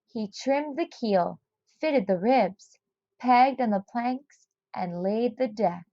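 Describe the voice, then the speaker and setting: Slow-reading woman, dry muffled sound. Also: on the high side, lively intonation, no room sound. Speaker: storybook reader. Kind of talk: expressive children's read-aloud narration.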